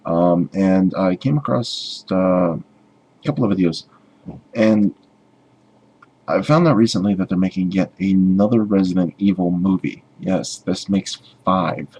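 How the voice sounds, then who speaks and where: A man giving a casual opinion rant as a video blogger, halting and rambling, with sarcastic griping. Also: deep voice, a little mellow, breathy edge.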